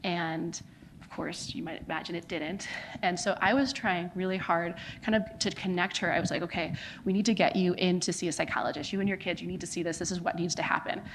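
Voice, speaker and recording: A woman's voice: fairly low pitch, steady tone, brisk, a little hiss or hum, rough recording. An American woman giving a conference talk as a charity founder, earnest, with frequent fillers like "kind of" and "like". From United States. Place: conference venue.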